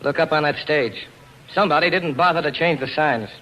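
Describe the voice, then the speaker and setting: Man as blunt, fast-talking radio club boss. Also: on the high side, fairly bright tone, lightly acted. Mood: accusing. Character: blunt, commanding male club boss, shrewd and accusing. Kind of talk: 1940s radio acting. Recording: noisy vintage 1940s radio recording.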